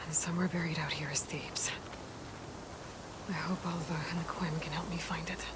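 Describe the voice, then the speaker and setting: A woman's voice: low-pitched, fairly even intonation, normal speed, heavy background noise, close to an everyday voice. A woman playing a determined young warrior explorer in a video game cutscene, speaking plainly and purposefully over music. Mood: musing. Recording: loud game music underneath.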